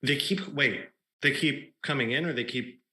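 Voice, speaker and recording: A man's voice: medium pitch, normal speed, bright, forceful tone. A man playing a hesitant, short-of-money customer who questions things in a wry way. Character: hesitant broke male customer. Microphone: video call.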